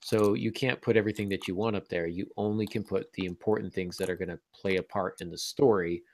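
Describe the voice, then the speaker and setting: Low, gravelly man hosting a podcast. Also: fairly even intonation, a little fast. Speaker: podcast host and fiction writing teacher. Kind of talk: casual panel discussion, explaining with examples.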